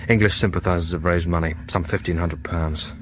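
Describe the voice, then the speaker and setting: A man's voice: deep voice, steady tone, a little fast, noisy background, lightly acted. An actor playing a compassionate, earnest English clergyman in a radio drama. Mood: concerned. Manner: earnest, gentle but firm.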